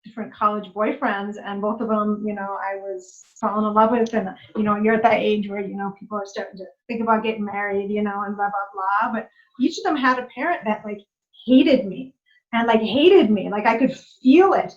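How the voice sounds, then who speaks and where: A woman's voice: mid-range voice, brisk. A woman guest in a personal conversation, reflective and affirming, filling her speech with "like" and "you know". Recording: muffled lo-fi recording.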